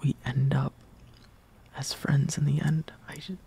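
A young man performing an intimate roleplay monologue as a lovestruck, insecure friend, in a hesitant, breathy half-whisper that trails off. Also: mid-range voice, level tone, measured pace, heavy background noise. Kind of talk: soft intimate roleplay monologue, partly improvised.

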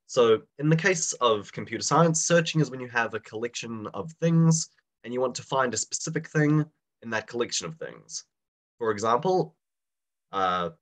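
A young man with a slight cold and a bit raspy, teaching a live online class over a video call in a casual, chatty way and putting questions to his students. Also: on the low side, a bit bright.

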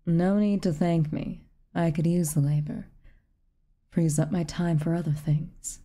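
A haughty, reclusive witch, played by a woman in an intimate ASMR roleplay. Her voice is low and very dark, soft enough to slip toward breathiness. She speaks in clipped, commanding phrases, stern on the surface with a hidden kindness. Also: fairly even intonation, measured pace. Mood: aloof, stern. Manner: aloof, clipped, commanding, occasionally breathy near-whisper. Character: haughty, reclusive tsundere witch, stern but secretly kind.

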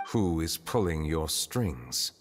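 A man playing a calm, stern chief justice in a video game, speaking formally and with composure. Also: extremely low pitch, slow, long reverb tail, soft background music, full character voice. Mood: composed, stern.

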